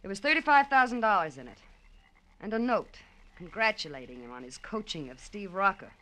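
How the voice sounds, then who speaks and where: An actress playing a cool, bitter, nervy wife with terse, hard-edged delivery in a 1940s radio detective drama. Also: female voice, mid-range voice, big pitch swings, a little fast, pushed and bright, rough recording. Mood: bitter, determined.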